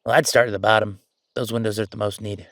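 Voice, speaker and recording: A man's voice: fairly low pitch, level tone, fast talker, fairly bright tone, no room sound, clean studio sound, natural voice. A man playing a chatty, easygoing ghost who died at thirty-five, rambling casually with wry asides in an audio roleplay. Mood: helpful, chatty. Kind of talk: conversational audio roleplay monologue.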